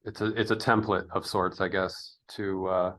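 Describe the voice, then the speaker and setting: A man hosting a casual interview podcast, speaking haltingly as he thinks aloud. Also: low voice, flat delivery, fairly bright tone.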